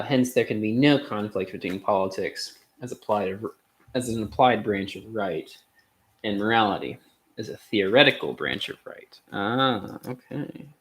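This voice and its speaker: Low-voiced man reading aloud haltingly. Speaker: streamer reading philosophy aloud. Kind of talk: reading an essay aloud with off-the-cuff commentary.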